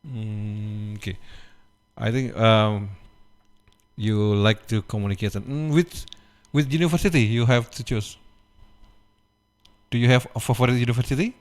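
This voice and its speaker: A man hosting a radio interview in halting English. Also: fairly low pitch, steady tone. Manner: halting, broken phrasing. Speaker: radio host.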